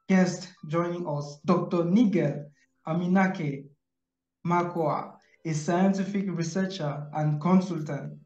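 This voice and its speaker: A man's voice: high voice, darkish tone. A male host reading a formal introduction and asking interview questions, with slightly awkward phrasing. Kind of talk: scripted interview hosting. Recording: echoey, dull lo-fi sound.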